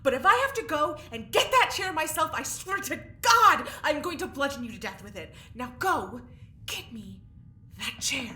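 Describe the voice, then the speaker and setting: Defiant escaped female convict, sarcastic and sharp, swinging intonation, audio drama. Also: high-pitched, sing-song, normal speed, roomy sound, slight background noise, full character voice. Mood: exasperated, determined. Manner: sarcastic, biting, swearing.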